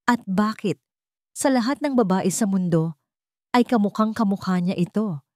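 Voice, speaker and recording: A woman's voice: mid-range voice, normal speed, darkish tone, no echo, studio quality. A woman with a Filipino accent narrating a scripted dramatic story.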